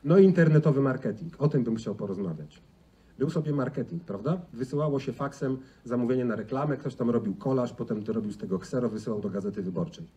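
A man's voice: medium pitch, lively intonation, fast, dark tone, muffled recording. A middle-aged man giving an informal conference talk in a conversational way, often adding tag questions. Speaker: conference speaker. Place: large indoor auditorium stage. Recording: distant, noisy stage sound in a hall.

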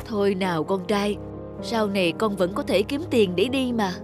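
Woman acting worried loving mum, gentle, consoling, animated moral tale, music underneath. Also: mid-range voice, moderate pace, lightly acted. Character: caring, worried mother of a young boy. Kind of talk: Vietnamese animated moral story dubbing. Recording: music behind the voice.

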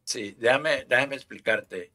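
A man in his sixties from Puerto Rico, with a Puerto Rican accent, explaining things haltingly as a guest on a video call. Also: medium pitch, bright, forceful tone. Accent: Puerto Rican Spanish. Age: 60s. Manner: halting, repeats words while explaining. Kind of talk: casual interview answers. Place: home room, indoors. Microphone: headset mic on video call.

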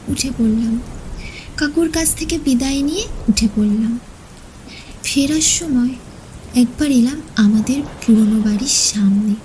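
A woman reading a scripted horror story as narrator, expressive and suspenseful, sometimes letting phrases fall to a hush. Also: fairly high pitch, slight background noise, rough recording. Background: background music.

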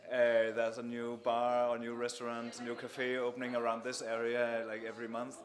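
A young man from Denmark, answering interview questions thoughtfully in a cafe, pausing and restarting as he thinks. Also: mid-range voice, monotone. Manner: thoughtful, many fillers and restarts. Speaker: sound artist, interview guest. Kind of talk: relaxed sit-down interview. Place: indoors in a small cafe. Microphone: handheld mic held by interviewer.

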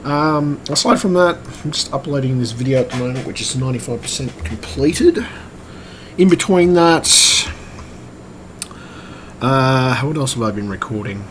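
A man talks in a loose, rambling vlog style at a middling pitch. His voice is croaky and strained, and he pauses and hesitates as he goes. Clear noise sits behind him throughout the recording. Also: animated. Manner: hesitant, rambling, swears casually. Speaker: vlogger and computer repairer. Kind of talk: rambling casual update vlog. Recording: clearly noisy room recording.

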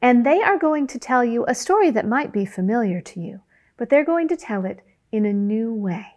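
Animated American woman telling a children's story. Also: a little high. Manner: expressive, deliberate, repetitive storybook cadence. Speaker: library storyteller. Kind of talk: children's storytelling with shadow puppets.

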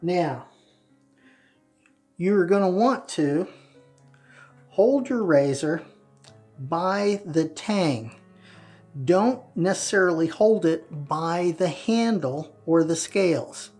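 Man in his fifties, hobby presenter, very slow deliberate delivery, music behind. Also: sits high, lively intonation, darkish tone. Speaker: presenter of a wet shaving channel. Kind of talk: instructional product demonstration to camera. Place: small tiled home bathroom, indoors.

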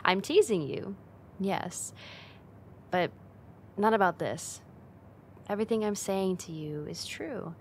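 Casting: actress as teasing young female roommate, ASMR roleplay, unhurried, mid-pitched, playful and affectionate, close-miked with some background noise. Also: lively intonation. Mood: tender, sincere. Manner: teasing, intimate, giggly, slow and coaxing.

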